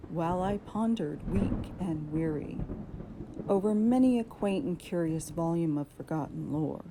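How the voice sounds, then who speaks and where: Slow, theatrical woman reciting gothic poetry. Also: on the low side, noisy background. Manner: theatrical, deliberate, drawn-out phrasing with strong emphasis. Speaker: poetry reader.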